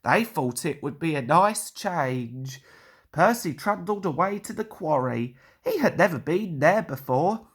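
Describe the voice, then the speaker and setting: A woman's voice: deep voice, lively intonation, measured pace, breathy edge. A woman reading a children's story as a scripted narration.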